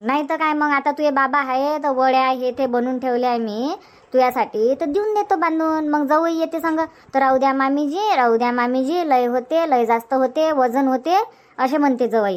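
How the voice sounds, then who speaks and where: A high-pitched, fussy village mother acted by a woman for a Marathi comedy cartoon. She has a Vidarbha accent and nags in a chatty, repetitive way, pressing her point insistently. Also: moderate pace, close to an everyday voice. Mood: resigned, fussing. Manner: chatty, nagging, repeats herself. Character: fussy, insistent middle-aged village mother urging daughter to stay. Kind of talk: homemade comedy cartoon dubbing.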